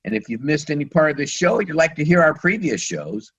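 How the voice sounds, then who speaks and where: A man hosting a radio interview, halting as he searches for words, with a voice that is starting to give out. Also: on the high side, sing-song, slightly rough. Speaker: radio show host.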